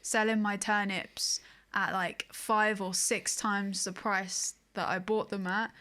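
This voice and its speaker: A woman's voice: mid-range voice, little pitch movement, slow, bright, forceful tone. A woman co-hosting a podcast, chatting casually about video games in an informal, wry storytelling way. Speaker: podcast co-host.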